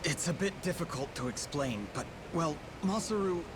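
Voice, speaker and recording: A male actor plays an anxious pirate crewman in a video game drama. His voice is a little high and he sounds careful and earnest. Loud ambient noise surrounds the speech. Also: animated, normal speed, natural voice. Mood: worried, careful. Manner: hesitant, earnest.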